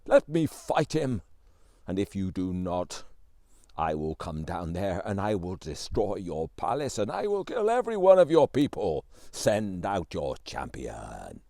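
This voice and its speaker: A man's voice: very deep voice, sing-song, very slow, gravelly, few pauses, a little mellow, husky, no room sound, studio quality, pitched below the performer's own voice, exaggerated voice. A man voicing a huge male giant who bellows threats from a mountaintop. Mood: bellowing threats. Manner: roaring threats at full volume.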